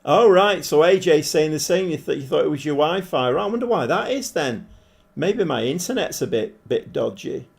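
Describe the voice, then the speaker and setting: A man with a croaky, hoarse voice, chatting casually as he streams a game and thinks aloud. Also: fairly high pitch, expressive, normal speed. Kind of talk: casual live-stream commentary. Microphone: desk microphone.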